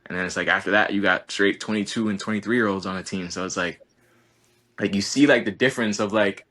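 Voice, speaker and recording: A young man in his twenties with a deep, low-set voice. He chats casually and earnestly, stringing thoughts together with endless "like"s and restarts. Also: fairly even intonation, fast talker, fairly bright tone. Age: 20s. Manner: rambling, heavy use of "like", earnest. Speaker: college basketball player, guest. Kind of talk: casual podcast conversation.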